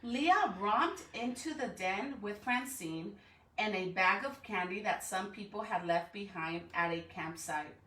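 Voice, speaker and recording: A woman educator speaks expressively and directly to young children, in read-aloud style. Her voice is bright and her pace unhurried. The recording has a clear room echo. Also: mid-range voice, animated. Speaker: school educator reading aloud to students. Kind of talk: storybook read-aloud and greetings to young students. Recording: echoey room.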